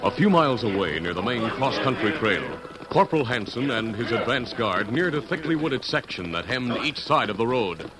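A man's voice: mid-range voice, animated, moderate pace. A man narrating a 1950s radio drama from a script in a formal, dramatic storytelling delivery. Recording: noisy vintage radio recording.

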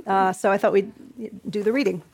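A woman's voice: mid-range voice, steady tone, fairly quick, darkish tone. A woman interviewing authors onstage, encouraging, with many short agreeing replies. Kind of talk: live onstage conversation.

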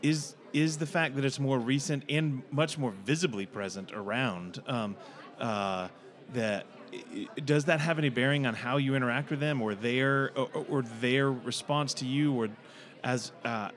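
A man hosting a discussion, searching for words with many pauses and fillers. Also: mid-range voice, a bit bright. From United States. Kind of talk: casual discussion.

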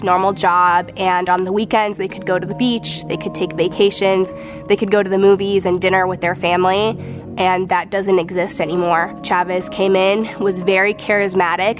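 A woman from Venezuela who grew up in Texas, giving an earnest, measured personal testimony. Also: medium pitch. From born in Venezuela, raised in Texas, United States. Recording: music playing behind the voice. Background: background music.

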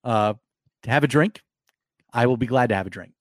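A man with a hoarse, worn voice hosts a live sports podcast late at night. He talks loosely, circling back and restarting his sentences. The sound is dry and close, with no room around it. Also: medium pitch, a little fast, dry recording. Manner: rambling, many restarts and fillers. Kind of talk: casual live podcast talk.

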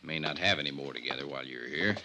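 An actor playing a folksy, wary older small-town sheriff who drawls deliberately in a 1950s radio drama. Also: male voice, rumbling low, very noisy. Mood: folksy, sociable.

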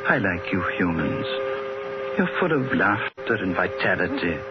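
An actor playing a grave, courteous alien who judges humanity, speaking deliberately in a 1960s radio drama. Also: male voice, low-pitched, sing-song, very noisy. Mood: regretful, solemn. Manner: measured, deliberate, polite, pausing for words. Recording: dull 1960s radio broadcast recording.

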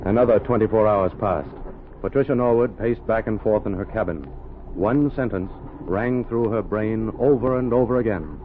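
A man narrating a 1930s radio drama in a measured, dramatic way. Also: fairly low pitch, a little hiss or hum. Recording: tinny old radio recording with music.

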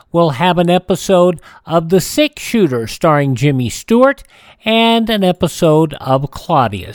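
A man's voice: on the high side, no echo, clean studio sound. A man presenting a radio show from a script as its host.

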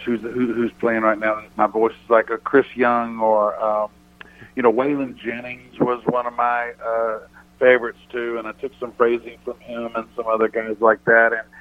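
A man with a deep voice, a country singer chatting casually as a radio guest, rambling thoughtfully with many restarts. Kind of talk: casual radio interview chat. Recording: tinny phone-line sound.

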